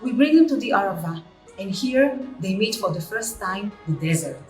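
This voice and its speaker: A woman, the director and founder of a training center, presenting a guided tour in measured, slightly English. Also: mid-range voice, expressive, a little mellow. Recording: echoey room with music underneath.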